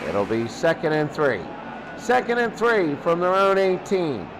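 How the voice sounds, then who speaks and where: A man's voice: fairly high pitch, sing-song, measured pace, heavy background noise. A man calling American football play-by-play in short clipped phrases, repeating himself for emphasis. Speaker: play-by-play announcer.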